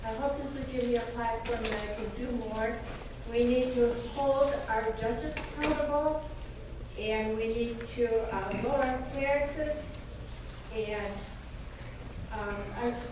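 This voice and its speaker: A woman's voice: a little high, a little slow. A woman giving a short, slightly hesitant campaign speech. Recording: echoey hall from far off, noisy.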